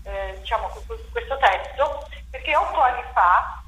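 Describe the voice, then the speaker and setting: A woman's voice: fairly high pitch, animated, normal speed, fairly bright tone. A woman playwright and theatre director explaining her stage work in an interview, thinking aloud with frequent fillers and restarts. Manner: reflective, many fillers and restarts. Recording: echoey room with faint noise.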